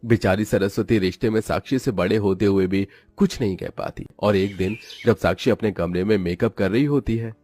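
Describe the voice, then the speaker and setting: A man's voice: fairly low pitch, fairly quick, hardly any pauses, a little mellow, soft background music. A man narrating a scripted moral story as its storyteller.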